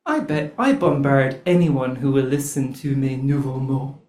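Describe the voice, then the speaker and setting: Slow, wry man in a roomy venue. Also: medium pitch, darkish tone. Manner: deliberate, wry, theatrical delivery. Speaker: performance poet and open mic host. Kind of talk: spoken word poetry performance. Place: open mic venue.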